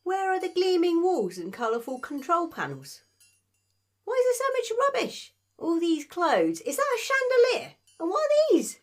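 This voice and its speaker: A woman's voice: extremely high pitch, big pitch swings, normal speed. A British woman playing a streetwise, exasperated young servant, blunt and slangy, in an audio drama. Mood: incredulous.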